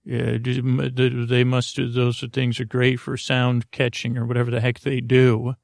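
Creaky voiced guy rambling a bedtime podcast, keeps tripping over his own sentences, super dry close sound. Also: medium pitch, completely flat delivery, dry recording. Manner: meandering, halting, self-interrupting. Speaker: podcast host.

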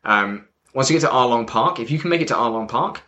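A man's voice: mid-range voice, expressive, fast, pushed and bright, muffled recording. A man in casual, informal conversation, explaining things as a co-host, with some wry humour, recorded on a built-in microphone. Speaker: podcast co-host, manga fan explaining to newcomer. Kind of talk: casual chat.

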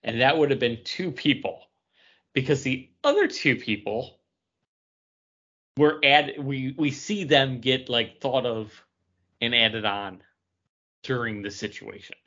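A man's voice: mid-range voice, takes it slowly, long pauses, bright, forceful tone, highs cut off. A man casually talking through a story as a podcast co-host. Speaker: comic book podcast co-host. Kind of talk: casual book discussion.

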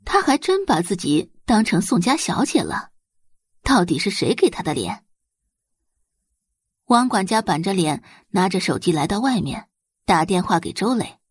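A woman's voice: medium pitch, sing-song, normal speed, pauses often, breathy edge, dry recording, studio quality. A woman narrating a scripted dramatic audiobook story.

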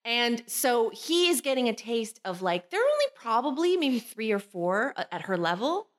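A woman with a lively, rising and falling voice makes her points in a casual chat. She talks emphatically and with firm opinions. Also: a little high, moderate pace. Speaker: podcast co-host.

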